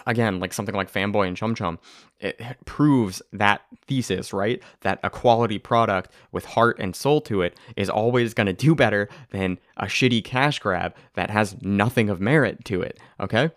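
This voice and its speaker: A young man hosting a casual podcast, talking excitedly and rambling, with a tired voice. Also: fairly low pitch, moderate pace, no echo, studio quality. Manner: excitable, rambling, swears, laughs often. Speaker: podcast host. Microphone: close to the mic.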